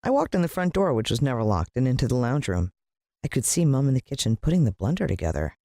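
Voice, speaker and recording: An American woman narrating a children's story in an expressive, dramatic storytelling manner. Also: very deep voice, fast talker, no room sound, clean studio sound. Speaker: audiobook narrator.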